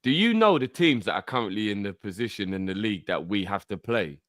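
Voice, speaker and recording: A man's voice: fairly low pitch, expressive, brisk, dry recording. A man on a football podcast panel, arguing his picks emphatically and swearing casually.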